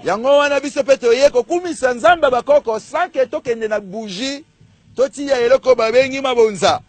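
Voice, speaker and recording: A man's voice: extremely high pitch, moderate pace, no room sound. A man with a Congolese accent preaching in a fiery, declamatory way, punching out emphatic rhetorical questions. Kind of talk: fiery street-style sermon. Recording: faint crowd behind. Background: faint crowd.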